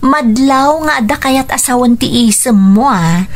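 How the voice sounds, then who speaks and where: An actress playing an affectionate, teasing young daughter in a radio drama, speaking with an Ilocano accent. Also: female voice, high voice, measured pace. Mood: teasing, hopeful. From Philippines.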